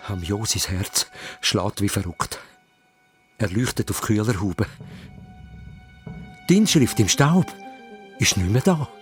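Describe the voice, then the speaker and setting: Animated Swiss male storyteller over music. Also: fairly low pitch, unhurried, pauses often. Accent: Swiss German. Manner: suspenseful, short punchy phrases. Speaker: narrator. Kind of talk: dramatic scripted children's story narration.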